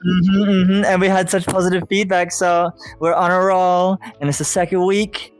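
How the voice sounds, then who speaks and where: A woman's voice: lowish voice, flat delivery, moderate pace, faint music behind. A woman chatting casually as a podcast co-host, in a playful, teasing way.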